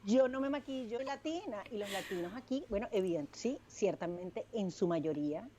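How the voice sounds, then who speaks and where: A woman's voice: medium pitch, animated, normal speed, a crowd in the background. A middle-aged woman with a strong Venezuelan accent, a clinical psychologist chatting casually as an interview guest and telling stories with self-deprecating humor. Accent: Venezuelan Spanish.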